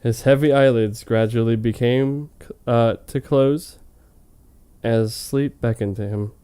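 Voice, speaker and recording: A man with a soft-edged, relaxed tone reads a story aloud with mock drama. His voice is smooth and clear, and he slips into casual, amused commentary between hammy narrated lines. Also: mid-range voice, takes it slowly. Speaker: reader of fan fiction on a comedy web show. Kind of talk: mock-dramatic reading with casual joking asides.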